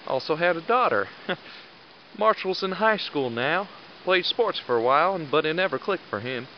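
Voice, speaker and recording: A man acts a middle-aged squad leader in an audio drama, blunt and commanding but fatherly. His fairly high male voice moves around with lively intonation. The sound is slightly muffled. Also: normal speed, a little hiss or hum. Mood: reflective, gruff.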